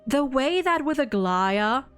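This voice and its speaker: A woman with a fairly high voice talks through a game story as a fan and streamer. She is effusive and loose, swearing and repeating words as she goes. Soft music plays behind her. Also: expressive, slow pace, smooth. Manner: effusive, swearing, stammering repetitions. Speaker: streamer co-hosting a video game story ranking. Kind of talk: casual animated fan discussion.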